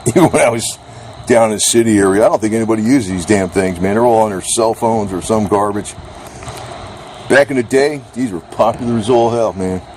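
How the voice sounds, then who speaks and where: A man with a fairly low voice talks off the cuff as he reviews gear. He rambles in a blunt, casual way with mildly salty slang. The recording is made outdoors under a carport, with some light background noise. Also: fairly quick, darkish tone. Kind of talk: unscripted casual product chat. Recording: noisy outdoor recording with ambient hum.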